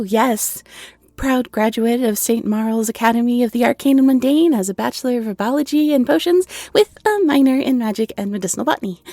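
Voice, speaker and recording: Actress as bashful young fairy tea seller, giggly and flustered. Soft, gently creaky voice, close and dry, faint music behind. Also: female voice, on the high side, no room sound. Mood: bashful, chatty. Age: 20s. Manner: nervous stammering, giggly, apologetic. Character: shy, flustered young half-fairy woman running a tea shop. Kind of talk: scripted audio roleplay, intimate and conversational.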